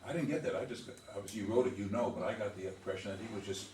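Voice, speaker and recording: A man's voice: on the low side, fairly even intonation, very fast, a little mellow, slight background noise. A man in a group discussion after a play reading, speculating aloud about the characters' motives. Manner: speculative, offers maybes. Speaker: audience member giving feedback. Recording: echoey room, from far off.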